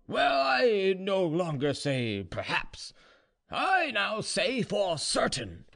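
Storybook drunk serf, boastful and defiant, played by a male reader: high voice, slow, hesitant tipsy delivery. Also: wildly swooping pitch, creaky, a bit bright, full character voice. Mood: defiant, commanding.

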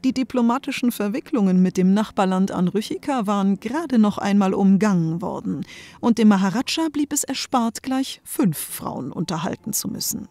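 A woman's voice: medium pitch, lively intonation, moderate pace, mellow. A woman narrator reading a scripted comic fairy-tale story.

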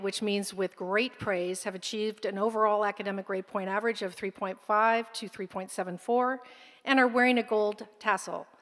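A woman's voice: mid-range voice, fairly bright tone. A woman at a podium formally announcing academic honors at a graduation ceremony in a large hall. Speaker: school administrator announcing honors. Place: large concert hall stage. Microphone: podium microphone. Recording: long hall reverb, faint crowd.

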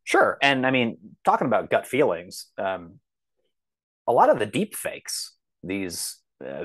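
Low-voiced man in thoughtful conversation. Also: fairly bright tone. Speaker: podcast guest, author. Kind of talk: casual, thoughtful interview talk.

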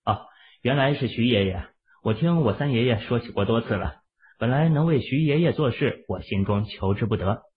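A man's voice: medium pitch, moderate pace, husky. Man playing a polite, slippery young hero, phone-like sound. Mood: polite, deferential. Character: polite, wry, evasive young male hero. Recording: muffled, phone-like narrow sound.

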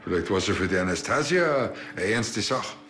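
A man's voice: lowish voice, animated, moderate pace, a bit bright, soft background music. An actor with a Bavarian accent playing a blunt, wry village policeman in a TV crime comedy, grumbling dryly and colloquially. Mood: matter-of-fact.

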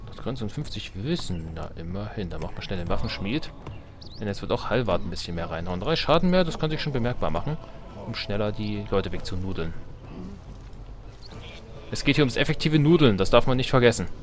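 A man's voice: fairly low pitch, lively intonation, fairly quick, background noise. A man giving casual, jokey gameplay commentary, slangy and often talking to himself and to his game units. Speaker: let's player.

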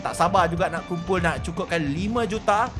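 A Malaysian man hosting a gaming video with excited, exclamatory gameplay commentary. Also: high voice, brisk, music in the background. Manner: exclamatory, animated reactions.

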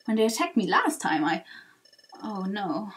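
A woman voicing an earnest, protective bird guide in a video game, grave and halting, with exclamations. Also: mid-range voice, big pitch swings. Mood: uneasy.

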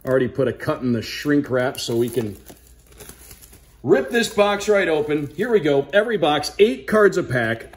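A man with a slightly high voice presents an unboxing in an upbeat, casual way. He speaks directly to viewers like a host guiding them through each item. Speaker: sports broadcaster hosting a card unboxing. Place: indoor room at a wooden table.

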